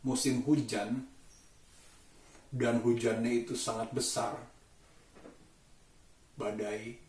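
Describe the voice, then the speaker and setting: A man speaks casually, as in a daily vlog. His delivery is slow and halting, often pausing to find the next word. The sound is echoey and picked up at some distance from the mic. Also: mid-range voice, level tone, a little mellow. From Indonesia. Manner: halting, thoughtful, searching for words. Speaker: vlogger. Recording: roomy echo, distant mic.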